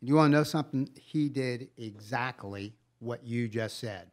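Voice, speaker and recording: An older American man with a mid-pitched voice speaks as a podcast co-host. His delivery is casual and conversational. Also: moderate pace.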